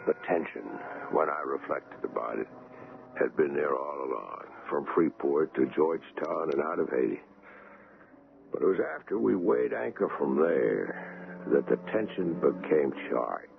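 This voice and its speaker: An actor playing a calm, reassuring ship's doctor in an old-time radio drama, speaking in a measured, courteous way. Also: male voice, extremely low pitch, creaky, exaggerated voice. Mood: reflective, grave. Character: calm, reassuring male ship's doctor recounting his story. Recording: tinny old radio broadcast, faint noise.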